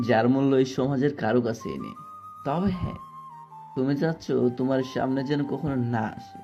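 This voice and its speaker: A man's voice: mid-range voice, muffled recording, close to an everyday voice. A man playing a humble, heartbroken young orphan lover, wounded and resigned, in an audio story.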